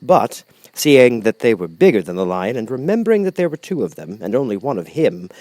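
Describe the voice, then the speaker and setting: A man reading a children's storybook aloud as an expressive audiobook narrator. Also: mid-range voice, fairly quick, no echo.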